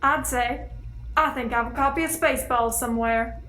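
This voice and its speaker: A woman's voice: a little high, steady tone, normal speed, fairly bright tone, lightly acted. A teenage girl or young woman playing a sarcastic, bantering scientist with a sardonic manner. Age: teens. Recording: echoey room, faint music.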